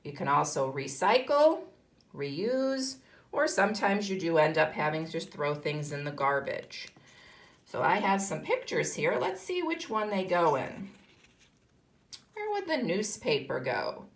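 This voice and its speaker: A woman's voice: on the low side, very animated, measured pace. A woman with an American accent teaching young children, instructive, posing questions and answering them herself. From United States. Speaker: kindergarten teacher. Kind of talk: read-aloud lesson for young children.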